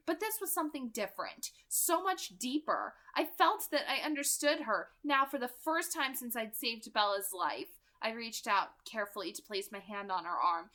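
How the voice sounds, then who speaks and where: A woman reading a novel aloud as an expressive amateur narrator. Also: medium pitch. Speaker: amateur audiobook reader.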